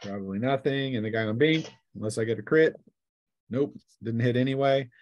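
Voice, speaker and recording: A man's voice: mid-range voice, fairly quick, a little mellow, lo-fi sound, slight background noise. A man acting as game master, narrating a tabletop game in plain, colloquial play-by-play.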